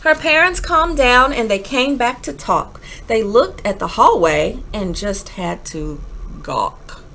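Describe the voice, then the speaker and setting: A woman reading a children's storybook aloud like a teacher, with dramatic pauses and emphatic phrasing. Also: medium pitch, a bit bright, slight background noise, poor audio. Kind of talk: expressive children's read-aloud, rhyming storybook narration.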